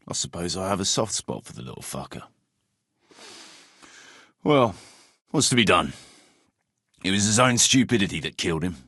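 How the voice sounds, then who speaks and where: A man playing a rough, foul-mouthed medieval soldier in a video game, speaking bluntly and earthily as the leader of a hard-drinking band. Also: fairly low pitch, a bit bright, no room sound, studio quality, heavily acted. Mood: subdued, resigned. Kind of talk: video game dialogue acting.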